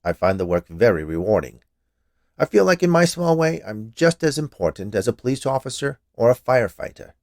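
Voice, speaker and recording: A man's voice: mid-range voice, sing-song, brisk, creaky, no room sound, clean studio sound. A man voicing a stern, fussy small health inspector with a curt, pedantic manner in a storybook tale. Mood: defensive. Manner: officious, pedantic, curt, defensive.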